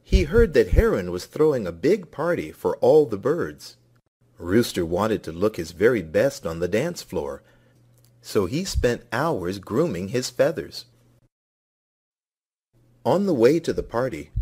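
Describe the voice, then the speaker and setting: A man's voice: fairly low pitch, a little mellow, breathy edge. A man narrating a scripted children's story as a read-along.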